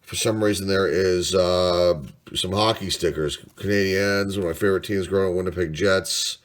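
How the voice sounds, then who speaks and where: A man's voice: low-pitched, steady tone, moderate pace. A man in his forties with a congested, stuffy voice, rambling casually through old finds and thinking aloud with lots of fillers. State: congested from a sinus cold. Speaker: sports card collector. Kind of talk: casual show-and-tell vlog.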